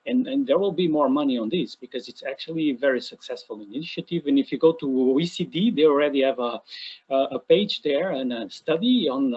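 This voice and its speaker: A man from Portugal answers a question on a video call, his voice husky and a little rough. He stops and starts again often and fills the gaps with fillers. The sound is dull and lo-fi. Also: mid-range voice. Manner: hesitant, many restarts and fillers. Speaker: academic presenter. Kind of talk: online Q&A answer.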